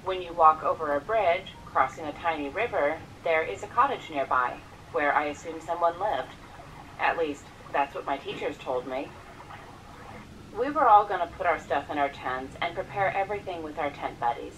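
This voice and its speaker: A woman's voice: deep voice, moderate pace. Woman narrating a scripted story. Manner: even, read-aloud delivery. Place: played from an animated video. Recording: distant, slightly noisy playback.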